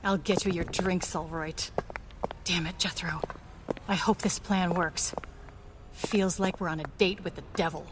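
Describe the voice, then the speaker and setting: An actress playing a sharp-tongued, plucky woman adventurer in a radio drama, with a wry, sardonic manner. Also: on the low side, steady tone, a little slow, pauses often, heavy background noise, natural voice. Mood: annoyed, wary.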